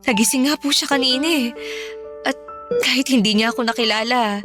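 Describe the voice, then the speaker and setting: A woman's voice: high-pitched, a little fast, lightly acted. A woman playing a devoted, anxious Filipino mother in a radio drama, earnest and emotional. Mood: weary, hopeful. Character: devoted, hopeful, anxious mother of a sick boy. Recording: music bed behind.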